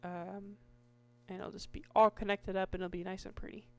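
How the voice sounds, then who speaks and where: A woman with a hoarse voice giving casual, meandering commentary over her Minecraft gameplay, restarting sentences often. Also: mid-range voice, little pitch movement. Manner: slow, meandering, with many restarts and fillers. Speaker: Minecraft YouTuber.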